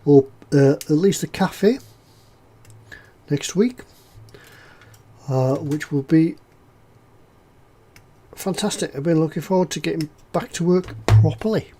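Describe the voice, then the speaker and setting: A fairly high-voiced man with a snuffly, stuffed-up sound from a cold. He chats in a relaxed, rambling way as he works on a model kit, filling pauses with "uh" and circling back over his own words. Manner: rambling and chatty, with frequent "uh"s and self-repeats. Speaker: scale-model builder hosting a live stream. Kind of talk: casual livestream chat, reading out viewer comments.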